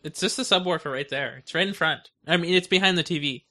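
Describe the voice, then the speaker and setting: A man's voice: on the high side, fast talker, bright, forceful tone. A man co-hosting a podcast in casual chat, telling things in a rambling, conversational way. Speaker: podcast co-host.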